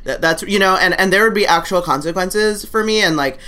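A woman in her thirties with a deep, masculine-sounding voice, talking candidly and rambling in a casual conversation. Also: fairly quick, pushed and bright. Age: 30s. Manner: candid, rambling, peppered with fillers and laughter.

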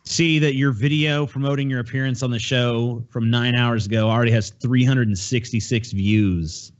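A man's voice: mid-range voice, fairly even intonation, moderate pace, highs cut off. A man co-hosting a podcast with crude, profane, joking banter in casual talk. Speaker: podcast co-host.

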